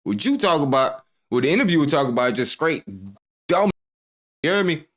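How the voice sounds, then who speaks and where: A man's voice: fairly high pitch, animated, normal speed. Slangy man doing reaction commentary. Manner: loose, joking, slangy commentary. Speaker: reaction video host.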